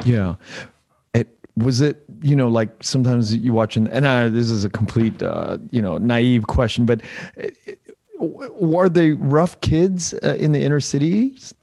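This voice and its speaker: A man asking casual interview questions in a tentative, halting way, repeating words as he goes. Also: mid-range voice, vocal fry, mellow.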